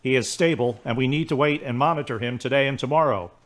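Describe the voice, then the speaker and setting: A man's voice: medium pitch, fast talker, lightly acted. A man acting a hospital doctor who explains an injury in a clinical, reassuring way. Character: calm, matter-of-fact hospital doctor. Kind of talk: amateur radio drama acting.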